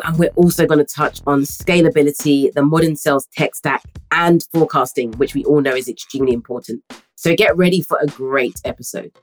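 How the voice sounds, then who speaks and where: Low-voiced upbeat woman host. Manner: upbeat, enthusiastic. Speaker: podcast co-host. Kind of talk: podcast hosting.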